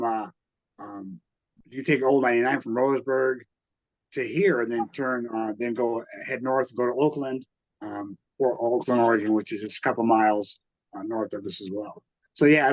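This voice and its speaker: A man's voice: medium pitch, dry recording, muffled recording. A man talking casually as an interview guest, explaining things in a rambling, conversational way. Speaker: museum founder and curator, interview guest.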